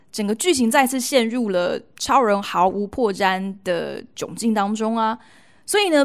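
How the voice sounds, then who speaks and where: A woman with a Taiwanese Mandarin accent hosting a podcast, telling stories chattily with playful, expressive emphasis. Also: mid-range voice. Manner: expressive, playful emphasis with colloquial sentence-ending particles. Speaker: podcast host. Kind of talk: chatty storytelling monologue to listeners.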